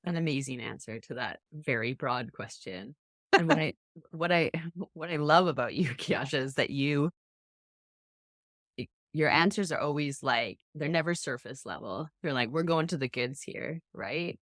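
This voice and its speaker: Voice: mid-range voice, expressive, normal speed, a bit bright, dry recording, clean studio sound. A podcast host in a casual interview, affirming and chatty, with frequent restarts.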